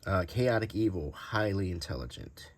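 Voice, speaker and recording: A man hosting an unscripted show-and-tell, talking casually and thinking aloud in a rambling, unhurried way. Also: deep voice, animated. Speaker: host showing vintage game cards.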